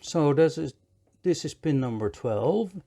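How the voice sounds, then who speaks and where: Slow-paced man with a higher-pitched voice. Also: expressive, gravelly, background noise. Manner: measured step-by-step, counts and repeats phrases. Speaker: electronics repair presenter. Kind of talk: hands-on tutorial commentary.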